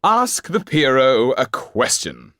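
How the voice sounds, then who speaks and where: A man's voice: medium pitch, wildly swooping pitch, slow pace, pushed and bright, no room sound, clean studio sound, pitched above the performer's own voice, heavily acted. A man voicing a flamboyant stage magician who proclaims theatrically. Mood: confident. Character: flamboyant stage magician, showman with grand flourishes.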